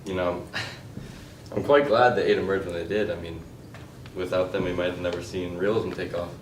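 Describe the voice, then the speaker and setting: A man acting on stage as a self-assured, condescending man who argues in a halting way. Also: deep voice, fairly even intonation, slight background noise, natural voice. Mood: smug, dismissive. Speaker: stage actor. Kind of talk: stage play dialogue. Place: indoor stage, recorded from afar. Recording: echoey stage recording from a distance.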